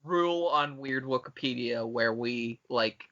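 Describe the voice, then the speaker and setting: A man's voice: mid-range voice, measured pace, pushed and bright, muffled recording. A man co-hosting a podcast in casual, argumentative banter with some swearing. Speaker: podcast co-host.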